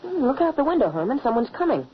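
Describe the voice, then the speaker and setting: High, earnest young boy, noisy recording. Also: very animated, fast talker. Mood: wondering. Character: plain-spoken young peasant boy, protective older brother. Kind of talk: old-time radio horror drama acting. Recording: muffled, noisy old radio recording.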